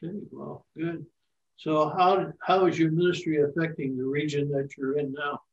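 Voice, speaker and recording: A man's voice: a little high, flat delivery, moderate pace, slight background noise. An older man, a bishop, hosting an interview on a video call, hesitant with many fillers. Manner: hesitant, lots of "uh". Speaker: host, bishop. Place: home room, indoors. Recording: distant, dull call audio.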